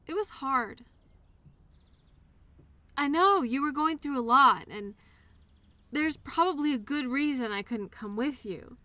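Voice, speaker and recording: A woman playing an innocent, childlike imaginary friend in an intimate audio drama, speaking earnestly and eagerly with wistful, hesitant pauses. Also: high-pitched, animated, sharp tone, no room sound, exaggerated voice. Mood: wistful, tender. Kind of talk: intimate scripted audio roleplay monologue addressed to listener.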